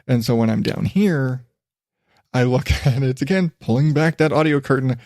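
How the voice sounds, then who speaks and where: A man's voice: medium pitch, normal speed, darkish tone, dry recording, clean studio sound. A man hosting a rambling solo podcast, chatty and self-deprecating, drifting from one digression to the next. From western United States. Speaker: podcast host. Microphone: studio microphone.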